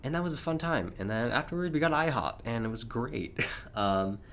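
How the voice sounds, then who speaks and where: A slightly hoarse young man hosting a casual solo podcast, rambling chattily with frequent chuckles. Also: mid-range voice, lively intonation, moderate pace, a bit bright, slight background noise. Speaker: podcast host.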